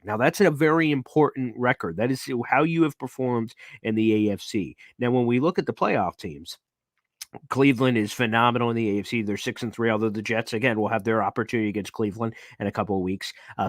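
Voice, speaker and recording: Excitable man hosting sports talk. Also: mid-range voice, fairly quick, no room sound, studio quality. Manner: excitable, hyped breakdown of standings. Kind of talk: live sports talk show.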